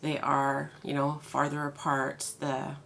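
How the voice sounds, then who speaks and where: A woman's voice: low voice, monotone, slow, gravelly, a bit bright, slight background noise. A congested woman chatting casually through a product haul, with many fillers and restarts. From Canada. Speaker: haul video host.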